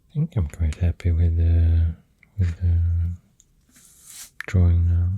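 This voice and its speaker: An ASMR host with a Swedish accent, rambling softly and hesitantly in a breathy voice that often drops to a whisper, close to the mic over pencil sounds. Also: sits low, monotone, highs cut off. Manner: hesitant, unhurried musing. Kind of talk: soft-spoken ASMR rambling. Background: pencil drawing and clicking sounds.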